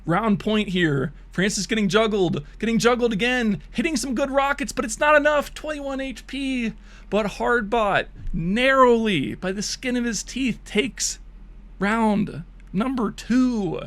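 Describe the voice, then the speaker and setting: A man's voice: extremely high pitch, unhurried, a bit bright. A man streaming and giving hyped mock esports play-by-play of bot matches, laughing and joking crudely, his voice wearing thin. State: losing his voice.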